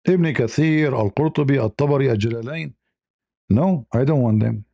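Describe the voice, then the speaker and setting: A man's voice: mid-range voice, normal speed, vocal fry, warm tone, no echo. A man whose first language is Arabic, hosting a heated live debate, combative and mocking, repeating himself insistently. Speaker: debate stream host.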